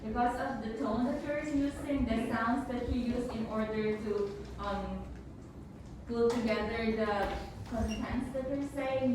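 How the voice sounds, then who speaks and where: Female teacher, slightly high voice, halting feedback delivery, very echoey noisy room. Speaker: teacher giving feedback at a student presentation. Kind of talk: spoken feedback at a school event. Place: roomy indoor school space, recorded from far off. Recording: echoey room, distant mic, loud noise.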